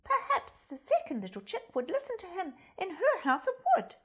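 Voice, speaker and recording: A woman storyteller reading a children's storybook expressively, with theatrical, deliberate phrasing. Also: very high-pitched, huge pitch swings, a bit bright. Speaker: storyteller, primary school reader.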